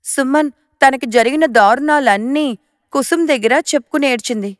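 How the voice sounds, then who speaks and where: A woman's voice: high-pitched, fairly quick, dry recording, studio quality. A woman reading scripted moral-story narration as the narrator.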